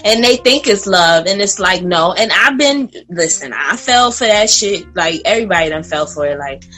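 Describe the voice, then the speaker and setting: A woman in her late twenties chatting casually as a podcast guest, animated and laughing, sometimes talking straight to the listeners. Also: on the low side, moderate pace, faint music behind. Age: 20s.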